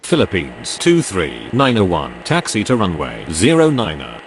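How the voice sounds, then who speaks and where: A man's voice: low voice, wildly swooping pitch, moderate pace, slight background noise, full character voice. A man playing a formal pilot and controller in a game, giving clipped radio phraseology. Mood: businesslike. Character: formal male airline pilot and air traffic controller.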